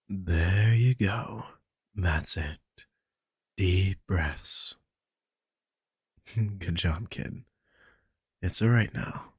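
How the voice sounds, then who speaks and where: A man acts out a protective guardian in an intimate audio drama. His voice is low and very breathy, with a husky edge, and he speaks slowly and gently. He sounds careful and burdened with guilt, often sinking close to a whisper. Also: no room sound, full character voice. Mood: soothing, reassuring. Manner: soft, hesitant, soothing, with frequent sighs. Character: protective, guilt-ridden male magical guardian, gently comforting.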